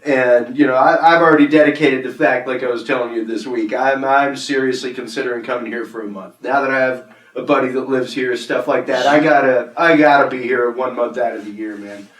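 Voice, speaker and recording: A man's voice: mid-range voice, brisk, few pauses. A man hosting a podcast, chatting casually and loosely with slangy asides. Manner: relaxed, slangy, says man and buddy. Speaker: podcast host. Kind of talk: casual interview chat. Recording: echoey room.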